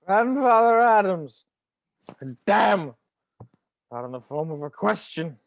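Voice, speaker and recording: A man's voice: sits high, big pitch swings, gravelly, dry recording, heavily acted. A man playing a theatrical, passionate family patriarch in a table read, drawing words out melodramatically. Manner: melodramatic, drawn-out delivery.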